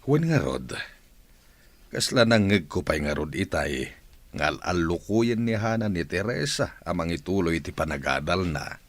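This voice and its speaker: A man playing a caring middle-aged village father in an Ilocano radio drama, with a husky voice. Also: low voice, lively intonation, a little slow, slight background noise. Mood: earnest, fatherly.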